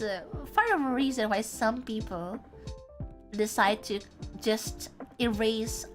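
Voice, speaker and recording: Young Indonesian-accented woman, high-ish voice, casual chatty streamer, light music behind. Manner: chatty, reads viewer comments aloud, thinks out loud. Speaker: VTuber streamer. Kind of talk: casual livestream chat.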